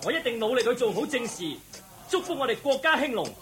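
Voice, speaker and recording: Man as eager young prince, high lively voice. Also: fast, a little hiss or hum. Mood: earnest, proclaiming. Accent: Hong Kong Cantonese. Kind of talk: Cantonese radio drama acting.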